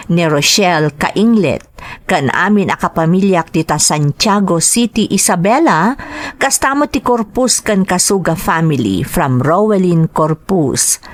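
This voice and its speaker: A woman podcast host with an Ilocano accent reads out scripted narration and greetings. Her voice is a little low, and the sound is very dry and close to echo-free.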